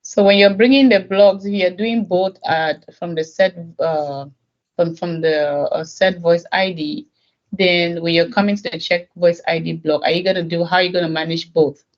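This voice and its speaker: Learner, casual questions, thinks aloud, mid pitch, dull lo-fi call audio. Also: fairly even intonation, normal speed.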